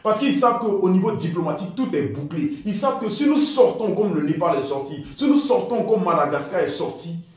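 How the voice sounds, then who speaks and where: A man delivering a fiery political speech in a forceful, declamatory way with emphatic repetition. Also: high voice, expressive, brisk, hardly any pauses. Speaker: political activist. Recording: very echoey, reverberant sound.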